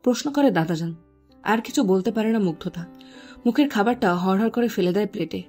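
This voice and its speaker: A woman's voice: medium pitch, brisk, darkish tone, faint music behind. A woman reading scripted story narration as the narrator.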